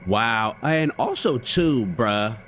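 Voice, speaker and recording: A man's voice: mid-range voice, animated, takes it slowly, a bit bright, a little hiss or hum. An American man doing casual, slangy game commentary, thinking aloud as he plays. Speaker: gaming streamer.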